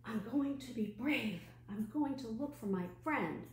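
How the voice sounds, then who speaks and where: Woman voicing a timid little storybook rabbit, high-pitched. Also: lively intonation, measured pace, a little mellow. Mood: determined. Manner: hesitant, earnest, childlike worrying. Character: small timid male rabbit, worried but trying bravery. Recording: roomy echo, clear background noise and hum.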